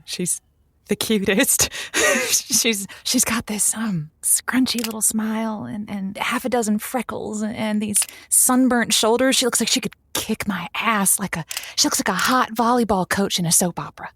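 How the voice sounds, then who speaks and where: A woman playing a smitten, insecure radio host in an audio drama, halting and trailing off in natural dialogue. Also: medium pitch, fairly bright tone, a little hiss or hum, natural voice.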